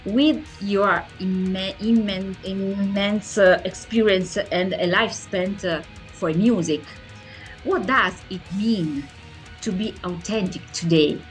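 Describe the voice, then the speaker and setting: Slow, halting woman interviewer. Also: mid-range voice, faint music behind. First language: Italian. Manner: halting, searching for words. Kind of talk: interview questions in a second language.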